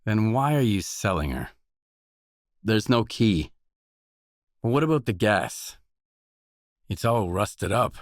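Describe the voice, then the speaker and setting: A male actor playing a weary, tough, guarded stalker mercenary in a video game, speaking in blunt, deadpan lines. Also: on the low side, slow, long pauses, dry recording, studio quality. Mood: matter-of-fact. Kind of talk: video game voice acting, isolated dialogue lines.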